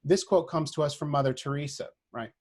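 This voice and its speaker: A man speaking as a social work professor, giving a prepared lecture in an earnest, deliberate manner, often checking in with a short tag question. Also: fairly high pitch, husky.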